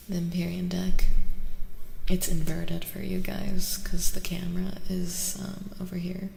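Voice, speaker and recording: A woman talks softly and slowly to her livestream chat in a casual, playful ASMR style. Her voice is breathy and deeply crackly with fry, often dropping to a whisper. The room adds noticeable echo, with faint noise behind her. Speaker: livestream host.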